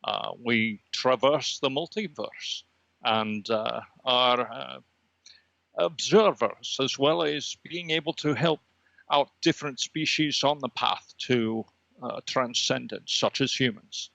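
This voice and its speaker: Brief: male performer playing a grandiose cosmic entity, gravelly and bright, solemn and halting, dry comedy podcast. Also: mid-range voice, dry recording, lo-fi sound, exaggerated voice. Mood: serene, grandiose. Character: lofty extra-dimensional red dot energy being, serene and cosmic. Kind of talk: improvised comedy podcast character.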